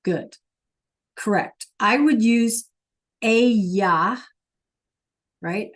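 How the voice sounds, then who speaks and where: A woman teaching a video class at a slow, unhurried pace, keeping to a middling pitch. She stops often to check in with her students, patient and steady. Her voice comes through a computer mic. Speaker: Spanish teacher.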